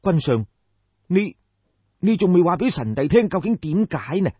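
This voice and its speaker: A man's voice: high voice, sing-song, normal speed, breathy edge, no room sound, lo-fi sound, voice pushed up, exaggerated voice. A man voicing a king's deferential younger brother in an audiobook, humble, puzzled and eager with questions. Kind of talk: dramatised audiobook storytelling.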